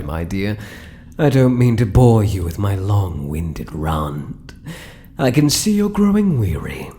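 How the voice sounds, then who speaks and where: A British man playing a possessive, sinister yet doting male spider-human monster in an intimate ASMR roleplay, crooning with soft menace and dropping into whispers. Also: on the low side, a little hiss or hum, full character voice.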